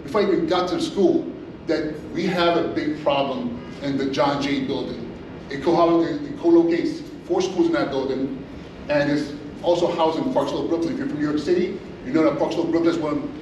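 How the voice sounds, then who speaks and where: Man, middle-aged, school principal, animated talk with restarts, somewhat high voice, noisy room sound. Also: a little fast. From Inglewood, California. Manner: animated storytelling with many restarts and fillers. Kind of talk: conference presentation. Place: large hotel meeting room. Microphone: far camera, no mic. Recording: echoey room from far off, audible noise.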